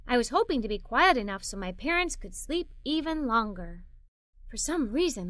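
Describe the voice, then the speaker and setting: A woman voicing an excitable, curious little girl who tells a children's story in a playful, expressive way. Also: sits high, no room sound, heavily acted. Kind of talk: scripted children's story read-aloud.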